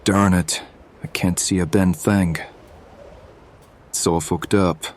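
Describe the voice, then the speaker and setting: A man's voice: low voice, fairly even intonation, slow pace, slight background noise. A man acting a bitter, accusing, distrustful hireling in an audio drama.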